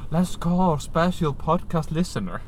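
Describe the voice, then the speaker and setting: A man's voice: fairly high pitch, moderate pace. Giggly man chatting in a car. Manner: playful, giggly, animated. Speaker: podcast co-host. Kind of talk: casual chat. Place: inside a car during a storm at night. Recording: faint background noise in a car.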